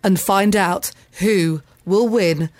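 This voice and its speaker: A woman's voice: medium pitch, slow pace, darkish tone, slight background noise. Upbeat woman podcast reporter. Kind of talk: scripted presenting.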